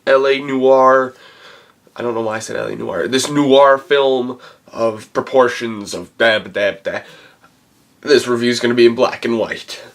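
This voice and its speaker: A man with a cold gives a casual, rambling film review, halting as he searches for words. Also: medium pitch, steady tone. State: has a cold. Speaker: movie reviewer and channel host.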